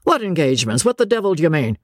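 A man's voice: a little high, very animated, a little slow, creaky, slightly rough, no echo, clean studio sound, pitched above the performer's own voice, exaggerated voice. A man voicing a coarse, blustering rich old merchant father who swings between bluff good humour and bullying temper. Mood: wrathful.

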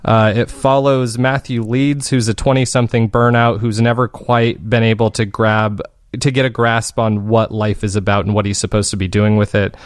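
A man's voice: on the low side, flat delivery, normal speed, no room sound, studio quality. A man speaking as an interview guest, explaining his creative work in an earnest, reflective, conversational way. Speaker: guest, fiction podcast creator.

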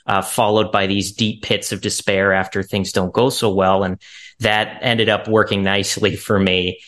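A man's voice: on the low side, flat delivery, normal speed, fairly bright tone. A young man with a youthful voice, an actor talking casually in an interview. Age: young adult. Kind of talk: casual chat.

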